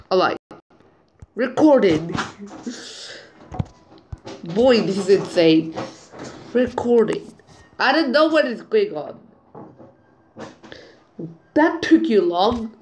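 A woman with a high voice reads chat messages aloud at an unhurried, halting pace. She mutters asides between the lines, recorded on a phone mic with a faint hiss behind her. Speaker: channel owner. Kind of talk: reading out group chat messages over a screen recording.

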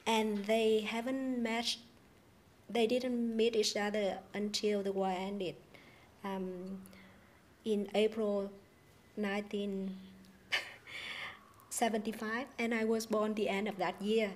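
A woman in her forties from Vietnam, telling her own story as a panel guest, careful and measured with hesitant fillers. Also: medium pitch, little pitch movement, a little mellow. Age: 40s. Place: studio stage with a panel seated in chairs. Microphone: handheld microphone.

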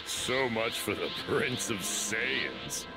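A man's voice: medium pitch, sing-song, slow, rough recording. A man voicing a smug, gloating monstrous android villain in an anime game, menacing and drawn out. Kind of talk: English anime game voice acting. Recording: loud music and effects behind.

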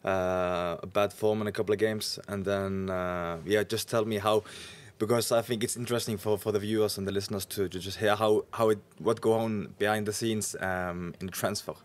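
Male host, thirties, Norwegian accent, lowish pitch, informal chat. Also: level tone, moderate pace, few pauses. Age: 30s. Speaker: podcast host, professional goalkeeper. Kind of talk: casual podcast interview. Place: indoor lounge booth. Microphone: podcast microphone on boom arm.

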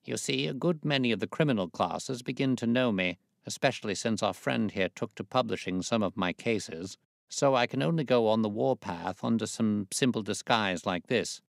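A man voicing a self-assured, commanding gentleman detective, articulate and authoritative, in an audiobook. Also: fairly low pitch, normal speed, vocal fry, no echo, clean studio sound, voice pushed up. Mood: amused.

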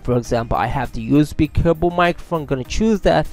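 A man's voice: a little high, quick pace, a little mellow, soft background music. Man with narrating tutorial. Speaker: tech tutorial host.

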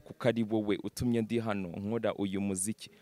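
A man's voice: on the low side, fairly even intonation, mellow. A young man from Uganda, a guest rapper, talking casually into a handheld mic outdoors and mixing in slang and English. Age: young adult. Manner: fast, mixes slang and English, raps. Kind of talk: casual interview with rap verses. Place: outdoor terrace.

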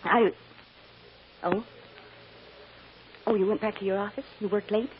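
An actress playing an anxious, doting mother on trial in a radio drama, halting and emotional. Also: medium pitch, steady tone, natural voice. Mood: eager, anxious. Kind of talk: 1950s radio drama acting. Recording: dull noisy old radio recording.

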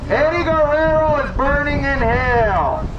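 Male street preacher, very high strained voice, slow deliberate delivery, heard from afar on a muffled recording with street noise. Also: animated, distant mic. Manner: loud, condemning, repetitive preaching. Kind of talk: open-air preaching to passing crowds. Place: outdoors on a city street.

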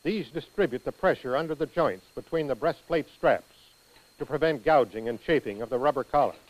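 An American man reading scripted instructional narration for a training film in a precise, measured manner. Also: mid-range voice, poor audio.

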